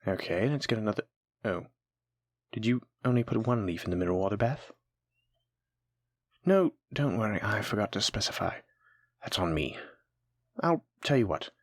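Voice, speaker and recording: A voice actor plays a patient, kindly alchemy teacher from a fantasy audio roleplay. The voice is breathy and moves expressively up and down, recorded close and dry. The teaching is gentle and encouraging, aimed at a nervous beginner. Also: medium pitch, lively intonation, normal speed, long pauses, no room sound. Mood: reassuring. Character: kind, patient alchemy teacher guiding a nervous new apprentice. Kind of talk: soft-spoken scripted fantasy audio roleplay.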